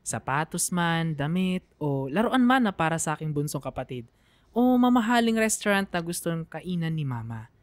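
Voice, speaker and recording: A woman's voice: lowish voice, big pitch swings, normal speed, no room sound. A woman radio storyteller reading a scripted, sentimental narration. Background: piano music under the voice.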